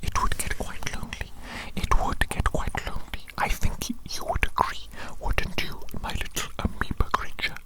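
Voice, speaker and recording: A performer voicing an evil doctor who dotes on their creations, in a slow, breathy ASMR roleplay whisper with lingering, repeated phrases. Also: close to an everyday voice, poor audio. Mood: pitying, coaxing. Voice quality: breathy unvoiced whisper throughout. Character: evil mad scientist doctor, doting and sinister creator of monsters.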